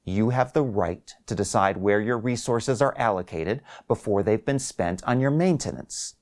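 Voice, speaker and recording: A man's voice: lowish voice, normal speed, lightly acted. A man voicing a detached, officious female call agent who speaks in formal, bureaucratic phrases in a short story. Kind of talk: acted short story dialogue.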